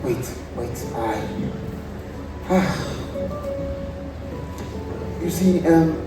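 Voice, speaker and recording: A young man acting a hesitant, wheedling character in a stage play, pleading in halting phrases. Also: on the high side, lively intonation, music in the background. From Nigeria. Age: young adult. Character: hesitant, wheedling, self-serving fallen man. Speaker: student stage actor. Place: theatre stage. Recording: echoey, noisy stage from far off.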